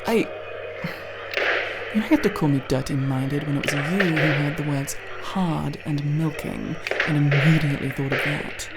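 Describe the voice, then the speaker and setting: A woman's voice: deep voice, moderate pace, very noisy. A woman playing a teasing, foul-mouthed tsundere tomboy friend in an ASMR roleplay, bickering playfully with mock-grand turns of phrase. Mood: bickering, defensive.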